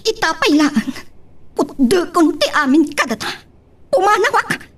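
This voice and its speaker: A woman's voice: very high voice, expressive, measured pace, a bit bright, exaggerated voice. A woman acting a hurt, betrayed wife determined to leave, in an Ilocano-accented radio-style love drama. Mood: hurt, bitter.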